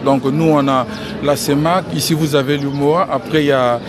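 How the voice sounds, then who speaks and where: Congolese man, interviewee, measured delivery, slightly high voice, loud crowd around. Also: steady tone. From Republic of the Congo. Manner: measured, repeats key phrases. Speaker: interviewee, financial engineering expert. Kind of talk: outdoor press interview. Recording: crowd noise behind.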